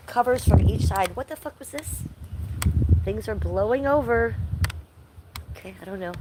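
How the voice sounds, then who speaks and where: A woman's voice: mid-range voice, background noise. Stuffy-nosed woman livestreaming outdoors. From Ohio, USA. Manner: chatty, profane, reacts to chat. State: stuffy, nasal from allergies. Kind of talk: casual livestream chat. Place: home and backyard, outdoors.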